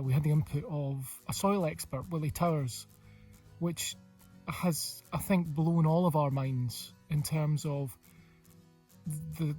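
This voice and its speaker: Husky higher-voiced man, slightly off mic. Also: mellow, far from the mic. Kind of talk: informal behind-the-scenes interview. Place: home studio.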